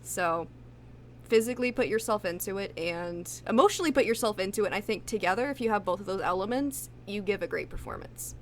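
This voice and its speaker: A woman with a medium-pitched voice chats casually as a guest voice actress in an interview. She comes across as agreeable and supportive. Faint noise sits behind her voice. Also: brisk.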